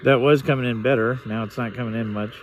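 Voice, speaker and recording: A man's voice: medium pitch, fairly even intonation, fast talker, slight background noise, low-quality recording. A man giving a casual, hands-on gadget demo, unscripted and musing aloud as he tinkers. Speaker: vlogger reviewing a radio.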